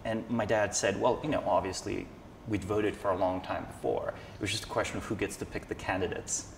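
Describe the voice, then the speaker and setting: A deep-voiced analyst, the man on a panel in a reverberant hall, thinking his answers through aloud. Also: steady tone, brisk, gravelly. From Slovenia. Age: 30s. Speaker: interview guest, social scientist and analyst. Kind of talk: reflective conversational explanation. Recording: echoey hall with background noise.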